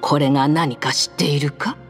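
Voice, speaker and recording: A woman's voice: low-pitched, steady tone, normal speed, natural voice. A woman playing a doting elderly grandmother in a Japanese game. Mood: fond, wistful. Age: older. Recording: long reverb, music underneath.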